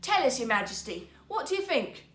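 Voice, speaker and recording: Woman playing a sly, high-pitched storybook swindler. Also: very animated, brisk, a bit bright, reverberant, far from the mic. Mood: fawning. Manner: wheedling, showy salesmanship. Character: sly, flattering swindler weaver, male rogue.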